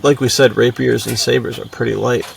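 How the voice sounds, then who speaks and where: Male podcast co-host, very creaky voice, bro-ish casual banter, slightly distant mic with faint noise. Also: mid-range voice, fairly even intonation, brisk. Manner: slangy, bro-ish, full of "dude" and "like". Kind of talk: casual, enthusiastic chat.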